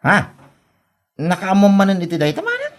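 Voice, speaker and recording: A woman's voice: mid-range voice, huge pitch swings, normal speed. A woman playing a humble, easily flustered country suitor in a comedy audio drama, given to exclamations. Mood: proud. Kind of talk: Ilocano comedy radio drama acting.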